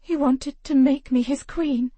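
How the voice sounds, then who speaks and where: A woman's voice: high voice, fairly even intonation, a little slow, warm tone, no echo, voice pushed up. A woman voicing a grieving young noblewoman, her speech quavering and tearful. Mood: wistful.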